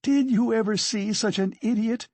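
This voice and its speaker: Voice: mid-range voice, sing-song, takes it slowly, darkish tone, no room sound, pitched above the performer's own voice. A narrator voicing a hysterical, fiercely proud and indignant poor woman in a dramatic audiobook reading. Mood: shrieking fury. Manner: shrieking, frantic, exclamatory.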